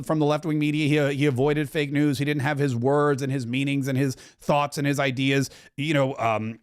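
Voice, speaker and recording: A man in his forties hosting a political commentary podcast, talking emphatically and rambling, now and then breaking into loud singing. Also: a little high, clear, resonant tone.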